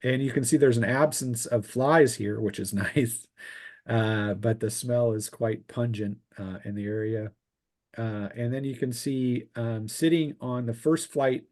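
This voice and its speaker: A man acts as game master for a tabletop roleplaying session, with a middle-pitched voice. He describes scenes off the cuff, feeling his way through the details in a halting delivery. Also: steady tone, a little mellow. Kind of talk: improvised tabletop game narration.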